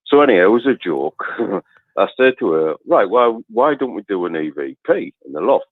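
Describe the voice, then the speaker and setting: Deep-voiced man on a muffled phone line. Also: very animated, normal speed, no room sound. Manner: chatty, rambling, laughs through his stories. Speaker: caller. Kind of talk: casual phone-in storytelling.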